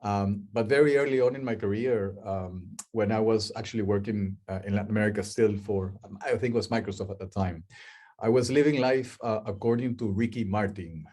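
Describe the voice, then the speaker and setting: A man's voice: lowish voice, a little fast, darkish tone. A middle-aged man from Peru with a Peruvian Spanish accent, giving a chatty webinar talk on a video call and asking the audience questions. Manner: chatty, asks the audience questions, many restarts. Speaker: guest speaker, life coach.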